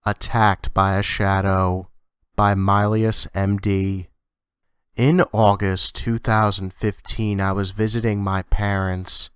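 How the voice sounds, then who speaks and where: Smooth low-voiced man narrating a ghost story. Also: level tone, slow pace, dry recording, studio quality. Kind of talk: scripted scary story narration.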